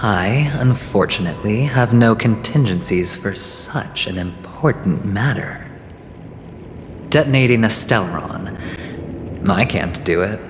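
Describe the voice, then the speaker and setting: A sly, theatrical gambler with a fairly low voice and a very unhurried delivery. His smooth, teasing game-character lines carry some weariness, ringing in a long hall reverb over music. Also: animated. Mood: frank, resigned. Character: cunning male gambler, smooth, theatrical and inwardly weary. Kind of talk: video game story voice acting.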